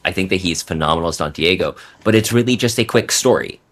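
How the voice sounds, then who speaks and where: A man's voice: sits low, fast, a bit bright. A man giving considered, articulate opinions in a casual podcast discussion.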